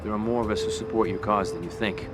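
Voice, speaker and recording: An actor playing a cool, wary American gangster boss in a video game cutscene, terse, guarded and matter-of-fact. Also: male voice, lowish voice, fairly even intonation, quick pace, loud music behind the voice, natural voice. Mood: polite, guarded.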